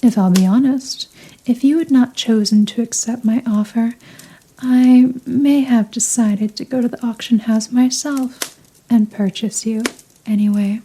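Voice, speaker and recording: A possessive vampire mistress, acted by a woman in an intimate ASMR roleplay. Her voice is very soft and velvety dark, a little high, and she speaks very slowly. She is formal and teasing, with a quiet air of command. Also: poor audio. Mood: amused, possessive. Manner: slow, teasing, formal, softly commanding, breathy murmurs. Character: seductive, possessive female vampire, wealthy and dominant.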